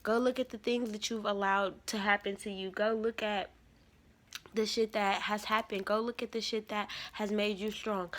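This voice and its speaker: A woman in her twenties giving an emphatic, slangy spiritual testimony to her viewers. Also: mid-range voice. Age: 20s. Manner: emphatic, repetitive, slangy storytelling. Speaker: spiritual vlogger.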